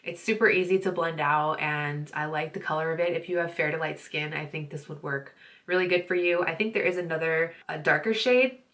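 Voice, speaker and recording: A woman's voice: low-pitched, fairly quick, fairly bright tone. A young woman with a stuffy nose, chatting casually in a beauty vlog and rambling along apologetically as she films at home. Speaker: beauty vlogger. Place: home filming setup.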